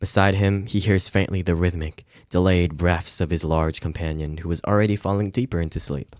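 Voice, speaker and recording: A low-pitched man speaks close and dry into his microphone over soft music. He is streaming a visual novel, casually talking to himself and reading the text aloud. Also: fairly even intonation, brisk, no echo.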